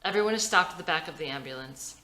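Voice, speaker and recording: Female script reader for screenplay narration, lowish and bright, lively intonation, echoey room. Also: very fast. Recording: roomy, echoey sound.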